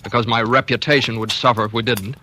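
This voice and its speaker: An actor playing a weary, trapped doctor who is also the narrator, in a 1940s radio drama. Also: male voice, steady tone, quick pace, pushed and bright, lightly acted. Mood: resentful. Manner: bitter, low and confiding. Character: weary trapped middle-aged male doctor. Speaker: narrator and lead character. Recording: old radio broadcast with faint hiss.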